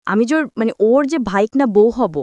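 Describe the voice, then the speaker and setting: High-voiced young Bangladeshi woman, close and dry. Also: lively intonation, moderate pace, darkish tone, dry recording. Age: young adult. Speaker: guest telling own life story. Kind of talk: conversational personal storytelling.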